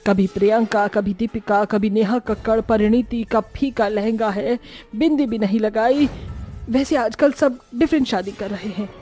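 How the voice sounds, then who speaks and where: A woman's voice: on the high side, normal speed, mellow, faint music behind, close to an everyday voice. A woman voicing a vain, fussy young cartoon witch who chats in a theatrical, dismissive way. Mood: dismissive, musing. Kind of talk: Hindi cartoon dubbing.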